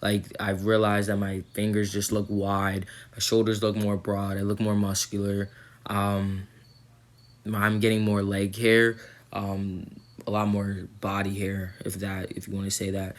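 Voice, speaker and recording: A man's voice: fairly low pitch, completely flat delivery, measured pace. A young man recording a casual vlog update, rambling with lots of ums and likes, his throat a little sore. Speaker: vlogger.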